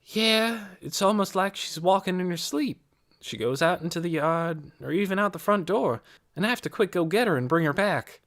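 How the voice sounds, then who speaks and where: Narrator doing a higher girl voice, casual, matter of fact, bit impatient, audiobook character. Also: animated, normal speed, a bit bright. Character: plain-spoken, hurried, slightly impatient girl.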